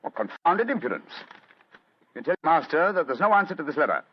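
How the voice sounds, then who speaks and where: Quick, animated retired English detective. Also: male voice, a little high. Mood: imperious, curt. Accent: British. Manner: crisp, commanding, theatrical. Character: aging retired English detective, keen, brisk, imperious. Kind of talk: 1940s radio acting. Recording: dull old radio recording.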